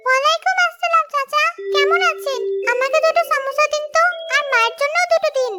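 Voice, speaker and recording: A woman voicing an excited, polite young daughter in a cartoon. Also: extremely high pitch, brisk, loud music behind the voice. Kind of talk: cartoon voice acting.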